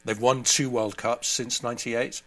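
A man's voice: medium pitch, flat delivery, normal speed, fairly bright tone. A man speaking as an interview guest, giving conversational analysis and commentary.

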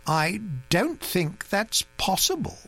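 A reader voicing a fussy, thoughtful elderly gentleman who speaks in a mild, earnest way, for an audiobook. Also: medium pitch, very animated, slow, husky, voice pushed up, heavily acted. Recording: dry recording with mains hum.

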